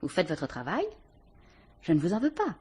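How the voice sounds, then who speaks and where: A guarded, defiant widow under police suspicion in an old radio mystery. Her voice sits low and a little husky, and her replies stay cool and sarcastic. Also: wildly swooping pitch, moderate pace, warm tone, heavily acted. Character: cool, defiant female murder suspect, a widow. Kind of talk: vintage French radio drama acting.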